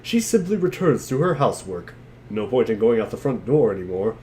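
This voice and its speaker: A man's voice: medium pitch, sing-song, fairly quick, dark tone, slight background noise. A man doing a sardonic, profane dramatic reading mixed with casual chat among friends. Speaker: narrator and reader of a webcomic dubbed as a dramatic reading.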